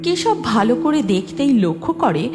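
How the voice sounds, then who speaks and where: High-pitched woman narrating a children's story. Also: sing-song, brisk, loud music behind the voice.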